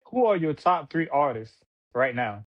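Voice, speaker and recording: A man's voice: on the high side, sing-song, a little slow. A man hosting a podcast, asking questions in a laid-back, slangy, hype-man way. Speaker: podcast host. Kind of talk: casual podcast interview. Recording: dull lo-fi sound.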